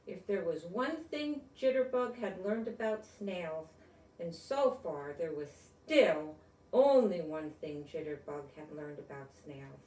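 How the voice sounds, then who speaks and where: Older lady reading a kids book aloud, slow n gentle, chatty asides, echoey room. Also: lowish voice, lively intonation. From United States. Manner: gentle, simple grandmotherly explaining to children. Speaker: storyteller reading a picture book. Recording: roomy echo, distant microphone.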